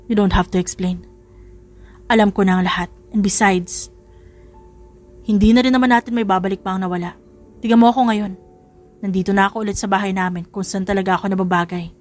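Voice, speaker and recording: A woman voicing a gentle, humble, resigned young man in a dramatised romance audiobook. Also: mid-range voice, steady tone, brisk, pauses often, soft background music, voice pushed down, natural voice. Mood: calm, forgiving.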